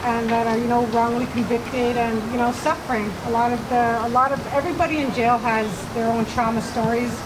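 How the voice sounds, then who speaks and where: A woman in her forties from Manitoba, slightly high in pitch, speaks haltingly and nervously, filling her pauses with ums. She talks at an outdoor rally, and passing traffic rumbles clearly through the recording. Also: level tone. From Manitoba, Canada. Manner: hesitant, nervous, many fillers. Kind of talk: personal testimony speech.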